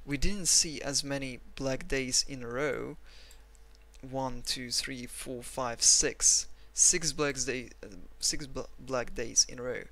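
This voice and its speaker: A man with a Russian accent walks through market charts at his desk, rambling and self-mocking. His voice is gravelly and bright, recorded on a desk mic. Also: mid-range voice, fairly even intonation, slow. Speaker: trading analyst. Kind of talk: screen-recorded chart analysis.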